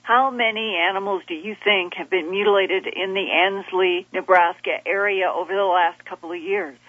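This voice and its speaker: An interviewer asking formal, precise questions and summarizing answers in a measured way. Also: mid-range voice, steady tone, no room sound, narrow, tinny audio.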